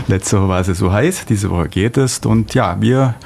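A man hosting a studio radio interview, speaking haltingly in long, winding sentences. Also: fairly low pitch, vocal fry, a little mellow, a little hiss or hum. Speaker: radio host. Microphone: studio microphone.

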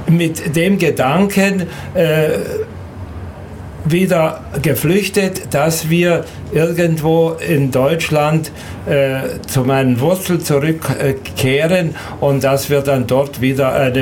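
An elderly man who grew up in Serbia and Hungary, recounting his life in an interview in a halting, hesitant way with repeated words. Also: a little high, darkish tone, slight background noise. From Danube Swabian from Serbia and Hungary. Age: 70s or older. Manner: halting, hesitant, repeats words, often ends with a questioning tag.

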